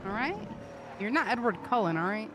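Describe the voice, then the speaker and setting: A woman's voice: mid-range voice, lively intonation, a little fast, background noise. A woman improvising casual roleplay chat with emphatic, playful storytelling. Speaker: roleplay game player.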